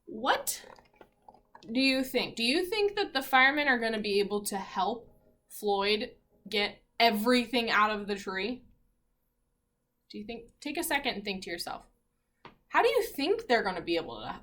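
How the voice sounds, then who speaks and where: A woman teacher reading a picture book aloud to children in an expressive, encouraging way, pausing to ask her listeners questions. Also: mid-range voice.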